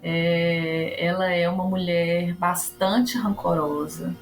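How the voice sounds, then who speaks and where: A woman's voice: on the low side, steady tone, slow, soft background music. A woman from Brazil, an actress and educator, talking casually and animatedly about her work, thinking aloud with rhetorical questions. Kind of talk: casual interview talk.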